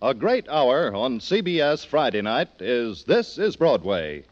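A slightly muffled old radio recording carries a male network announcer. He reads scripted copy in 1940s style, his pitch moving in wide, animated swings. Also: unhurried, faint music behind.

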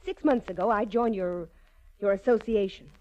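An actress playing a genteel, anxious dress-shop madame in a 1940s radio drama, formal and refined. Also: female voice, mid-range voice, moderate pace, dark tone, lightly acted. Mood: anxious, upset. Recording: muffled 1940s radio broadcast recording.

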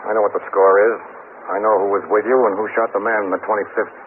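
An actor playing a blunt, hard-boiled police lieutenant in a 1950s radio drama. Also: male voice, lowish voice, level tone, fast talker, lightly acted. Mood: tough, pressing. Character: brisk, tough male police lieutenant. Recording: scratchy, narrow-band 1950s radio recording.

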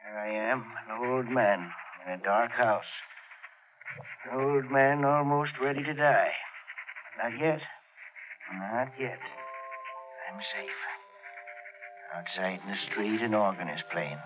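Slow, fearful old man. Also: mid-range voice, animated. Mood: brooding, wary. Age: older. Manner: halting, fearful, brooding monologue. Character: frightened, frail, wary old man. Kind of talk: 1940s radio drama acting. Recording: muffled vintage radio recording, organ music.

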